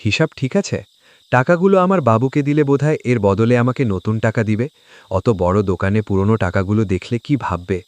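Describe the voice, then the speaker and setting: A man's voice: lowish voice, normal speed, darkish tone, no room sound, lightly acted. A man playing a poor, hardworking village father, earnest, meek and polite, in a moral-story cartoon. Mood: hopeful.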